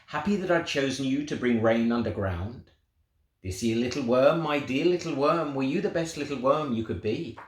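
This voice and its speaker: A man voicing a tender, proud God who slowly questions little creatures in a children's storybook. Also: mid-range voice, expressive, close to an everyday voice. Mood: tender questioning. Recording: roomy, echoing sound.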